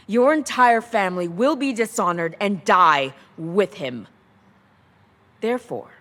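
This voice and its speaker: An actress playing a cold, commanding officer of an empire in a dramatized audiobook, speaking with deliberate menace. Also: female voice, mid-range voice, a bit bright, husky.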